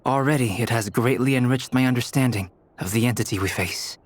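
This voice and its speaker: A man's voice: mid-range voice, fairly quick, a little hiss or hum, close to an everyday voice. A man voicing a composed, scholarly young researcher in an anime game, precise and formal. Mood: composed, analytical.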